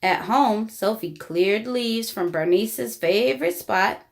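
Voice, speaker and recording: A woman reading a children's book aloud in an expressive, deliberate storytelling style. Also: medium pitch, creaky. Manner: animated, deliberate storybook delivery. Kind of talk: expressive read-aloud narration for young children.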